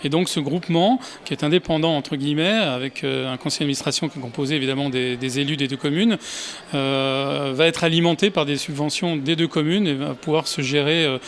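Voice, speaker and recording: A man's voice: a little high, fairly even intonation, fairly quick, slight background noise. A man giving spontaneous interview answers as a local official, hesitantly searching for his words.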